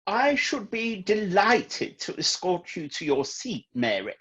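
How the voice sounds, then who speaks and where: An actor playing a courteous, fussy priest with a husky voice in a comedy radio play. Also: male voice, high-pitched, sing-song, takes it slowly, fairly bright tone, full character voice. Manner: formal, polite, fussy. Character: courteous, fussy, disgraced priest trying to stay inconspicuous. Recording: dull, muffled home recording.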